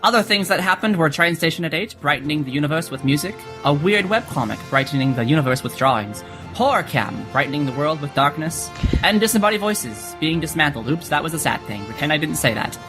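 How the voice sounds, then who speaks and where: A man's voice: fairly high pitch, lively intonation, brisk, over music. A man co-hosting a podcast, narrating a comic skit and chatting casually with dry humor. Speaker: podcast co-host.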